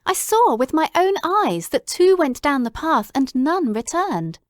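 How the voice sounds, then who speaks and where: An excitable male doctor friend played by a woman speaks in high, breathless exclamations in an audiobook drama. The voice is raised well above her narrating voice and recorded close and dry. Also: a little slow, dry recording. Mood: eager.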